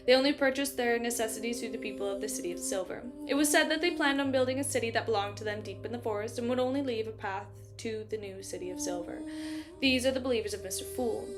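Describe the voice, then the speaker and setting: A woman casually chatting and reading a novel aloud. She laughs often, uses many fillers and still stutters on some words. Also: fairly high pitch. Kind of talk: casual chat between passages of a novel read aloud.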